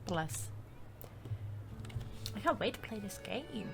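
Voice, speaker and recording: A woman's voice: medium pitch, very animated, takes it slowly, creaky, background noise. A woman streaming a game with casual, slangy let's-play commentary, game music behind her. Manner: slangy, informal.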